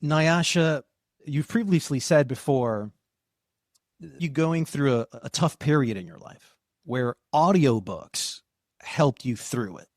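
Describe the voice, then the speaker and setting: A man's voice: mid-range voice, lively intonation, slow pace, darkish tone, no echo, clean studio sound. A man hosting a podcast, asking questions in a casual, candid way and swearing freely. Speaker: podcast host. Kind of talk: casual interview chat.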